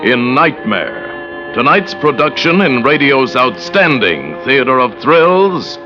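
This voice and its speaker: A man introducing a radio thriller with slow, stately, dramatic phrasing. His pitch rises and falls widely, and music plays under him on a dull, lo-fi old broadcast. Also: big pitch swings. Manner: measured, dramatic, stately delivery. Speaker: announcer. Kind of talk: formal radio announcement.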